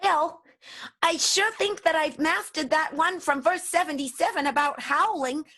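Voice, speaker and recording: A woman's voice: high voice, a little slow, sharp tone. A woman playing an eager, plucky young werewolf trainee in an improvised radio play.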